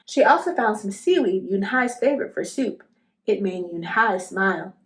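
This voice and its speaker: A woman narrating a children's storybook aloud in an expressive style. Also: medium pitch, normal speed. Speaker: storybook reader.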